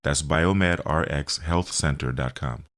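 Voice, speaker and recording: A middle-aged man with a deep, low-pitched voice is interviewed on radio. He explains his work in careful, informative terms. His delivery is halting, building each answer piece by piece. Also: fairly bright tone. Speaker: show host and health business owner being interviewed.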